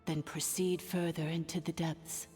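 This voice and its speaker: Game role: ancient artificial intelligence sovereign, formal and solemn, lower female register, cavernous echo. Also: level tone, warm tone. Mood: resolute. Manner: formal, measured, grave exposition. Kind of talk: video game voice acting. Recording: long cavernous reverb, music underneath.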